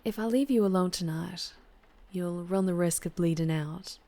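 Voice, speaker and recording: A woman playing a flirtatious, protective vampire biker in an ASMR roleplay, with a Southern US accent and a soft, intimate delivery that slips into whispers. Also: on the low side, moderate pace, natural voice. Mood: caring, serious. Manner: soft, intimate, often dropping into breathy whispers.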